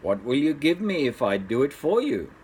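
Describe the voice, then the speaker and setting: A man acts out a strange little fairy-tale man who likes to bargain. He speaks slowly and slyly, drawing out his questions with a pitch that swings about. There is a faint background noise on the storybook recording. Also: sing-song, a little mellow, close to an everyday voice, rough recording. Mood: breezy, bargaining.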